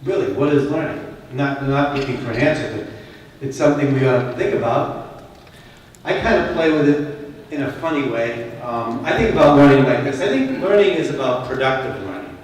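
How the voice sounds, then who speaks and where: A man with a Boston accent gives a keynote to a conference crowd, speaking conversationally and with emphasis and often turning questions back on the listeners. His voice sits at a middle pitch. It is picked up from a distance in a big hall that leaves a long echo trail behind each phrase. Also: lively intonation, fairly quick, slight background noise. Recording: echoey hall, voice from far off.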